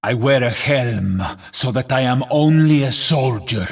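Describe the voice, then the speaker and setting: An actor playing a stoic, battle-scarred warrior in a video game, solemn and defiant, with a rough-edged husky voice and measured, declamatory delivery. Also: male voice, medium pitch, animated, full character voice. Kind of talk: heroic video game voice acting.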